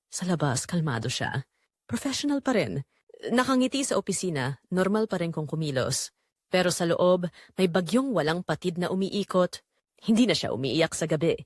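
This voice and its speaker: Expressive Filipino woman narrating drama. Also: lowish voice, no echo, studio quality. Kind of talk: dramatic scripted story narration.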